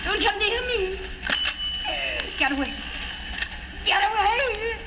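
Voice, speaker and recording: A woman's voice: extremely high pitch, expressive, slow, full character voice. An actress playing a desperate, terrified woman pleading on the telephone in an old-time radio thriller, breathless and melodramatic. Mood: screaming terror. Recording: noisy old radio recording, long reverb.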